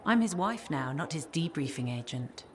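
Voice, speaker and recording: An actress playing a weary, caring ex-spy in a video game, speaking in a measured, earnest way. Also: female voice, low voice, lively intonation, a little hiss or hum. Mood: guarded, troubled. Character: guarded, weary female ex-spy, worried caring wife. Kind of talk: video game dialogue acting.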